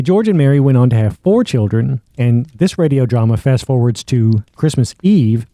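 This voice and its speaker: A man's voice: mid-range voice, dark tone, dry recording. A man hosting a podcast, casually recapping and commenting on a story. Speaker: podcast host.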